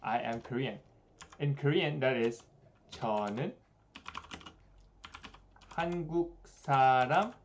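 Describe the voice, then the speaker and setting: A young man in a small room giving a relaxed, chatty livestream language lesson, slowing down to spell out examples. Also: mid-range voice, a little hiss or hum. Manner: relaxed, chatty, many fillers, slows down for examples. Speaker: Korean language teacher.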